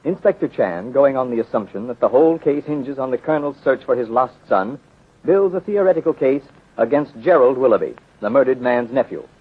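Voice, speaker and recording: A male announcer narrates in formal, dramatic 1930s radio style. His mid-range voice has a husky edge. The recording is thin and boxed-in, like an aged broadcast. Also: mid-range voice, expressive, a little fast, phone-quality sound.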